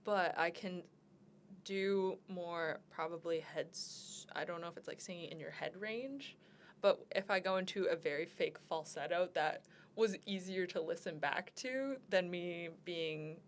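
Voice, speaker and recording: Low-pitched young woman, casual podcast chat. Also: unhurried, slight background noise. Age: young adult. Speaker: podcast co-host.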